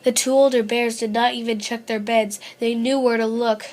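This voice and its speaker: A woman's voice: on the high side, little pitch movement, normal speed, a little mellow. A woman narrating a children's storybook expressively as a read-aloud. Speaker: story reader.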